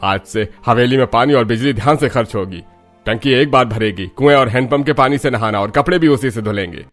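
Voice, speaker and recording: A man's voice: medium pitch, brisk, faint music behind, close to an everyday voice. An actor playing a stern, indignant older father-in-law in a cartoon story. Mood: angry. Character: stern, aggrieved father-in-law, head of the household.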